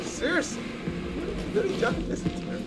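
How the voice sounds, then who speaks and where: A man giving casual, theatrical gameplay commentary, full of mock-dramatic exclamations. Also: normal speed. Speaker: gamer, video creator. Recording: voice under loud game music.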